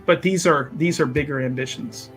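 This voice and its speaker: Man playing a self-important, high-voiced scheming boss. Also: faint music behind, lightly acted. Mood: grandly approving. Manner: halting, emphatic, self-important, repeats phrases. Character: ambitious, vindictive male boss of a shady underground organization. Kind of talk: improvised tabletop roleplay.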